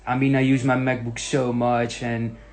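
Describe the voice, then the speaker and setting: A young man from the Netherlands with a Dutch accent, hosting a casual tech Q&A with many pauses, ums and restarts. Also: medium pitch, level tone, faint music behind. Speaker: tech channel host. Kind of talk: casual Q&A monologue.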